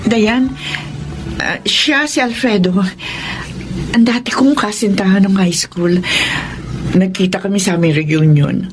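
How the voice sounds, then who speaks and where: A woman's voice: medium pitch, a little slow, long pauses, slight background noise, close to an everyday voice. Actress as hesitant, apologetic middle-aged mother. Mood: anxious, pleading. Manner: hesitant, pleading, faltering. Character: Amanda, apologetic mother confessing a rekindled romance. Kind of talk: Tagalog radio drama acting.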